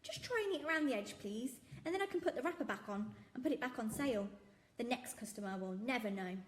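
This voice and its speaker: A big kindly storybook shopkeeper that a woman acts out, warm, sentimental, high and bubbly. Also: expressive, fast, slight background noise, close to an everyday voice. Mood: jolly. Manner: warm, fussing, softly scolding.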